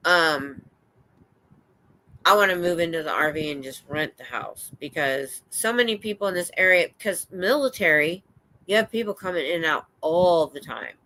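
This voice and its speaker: An older American woman hosting a livestream, chatting in a rambling, excitable way. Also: lowish voice, moderate pace, low-quality recording. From United States. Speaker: livestream host. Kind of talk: casual chat. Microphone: streaming setup.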